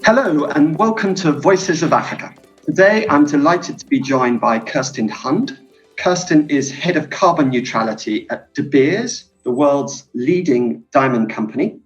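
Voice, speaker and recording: Podcast host man with a measured introduction. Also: a little high, expressive, dull audio, soft background music.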